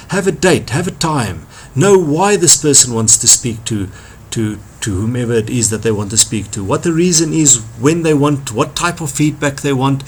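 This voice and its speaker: A man's voice: mid-range voice, a little hiss or hum. A man presenting an instructional talk as a trainer, speaking emphatically and repeating key phrases for stress. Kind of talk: instructional talk on workplace skills.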